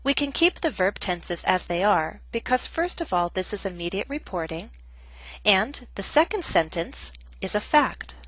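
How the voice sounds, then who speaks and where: A woman teaching an English grammar lesson in a clear, measured, scripted way. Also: medium pitch, very animated, a bit bright, no room sound, low-quality recording.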